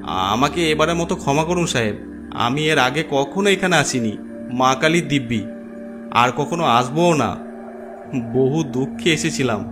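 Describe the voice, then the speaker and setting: A miserable, timid gentleman, voiced by a male narrator, meek and plaintive in the manner of a horror cartoon. His pitch is middling, and music plays behind him. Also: animated, measured pace, long pauses, close to an everyday voice. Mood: frightened, pleading. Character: miserable, timid, henpecked jobless Bengali gentleman. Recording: background music under voice.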